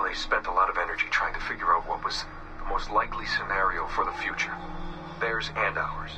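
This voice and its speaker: Guy acting as tired haunted young dude doing a halting audio diary, lowish voice, bit far off, music behind. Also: lightly acted. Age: young adult. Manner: halting, subdued, reflective. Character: weary, haunted young man recording a confession. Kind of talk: recorded confessional audio diary, game voice acting.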